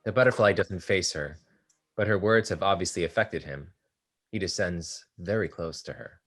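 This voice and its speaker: A man's voice: low-pitched, normal speed, fairly bright tone, faint music behind. A man reading stage directions aloud in a plain, matter-of-fact way during a play table read.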